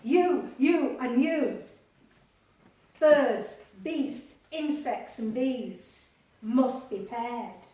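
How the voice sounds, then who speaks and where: Woman voicing a stern male ark builder, commanding, dismissive, loud and a bit high, far off in a very echoey room. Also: very slow.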